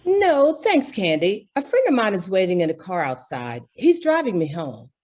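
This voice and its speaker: A woman's voice: medium pitch, huge pitch swings, normal speed, darkish tone. An actress playing a gracious, slightly flustered lady friend in a 1940s radio drama, polite and effusive. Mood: grateful, gracious.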